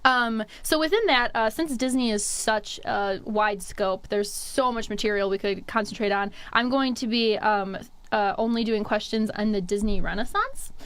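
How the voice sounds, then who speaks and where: A woman's voice: mid-range voice, normal speed, fairly bright tone, clean tone, no echo. A young woman hosting a casual quiz show, upbeat and giggly, with frequent ums. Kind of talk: casual chat.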